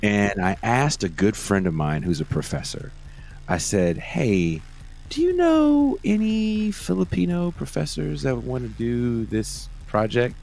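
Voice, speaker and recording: A man's voice: mid-range voice, very animated, a little slow, creaky, a little mellow. A man hosting a podcast, casual and laid-back, laughing often and swearing freely, from Oakland. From Oakland, California. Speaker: podcast host. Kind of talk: casual interview chat.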